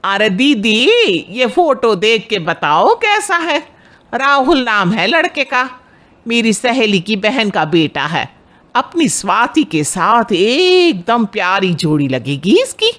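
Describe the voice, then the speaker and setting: A woman's voice: a little high, sing-song, takes it slowly, fairly bright tone, exaggerated voice. A woman voicing a chatty, pushy middle-aged matchmaking aunt in a cartoon family drama, with a familiar, persuasive manner. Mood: eager, persuasive.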